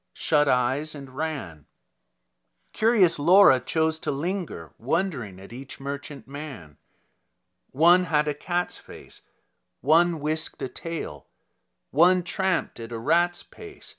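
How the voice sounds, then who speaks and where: A man hosting a literary reading. His voice sits a little high for a man and moves expressively. He delivers scripted prose and verse slowly, with a theatrical, measured manner. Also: a bit bright. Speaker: podcast host and reader. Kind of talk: scripted narration and dramatic poetry reading.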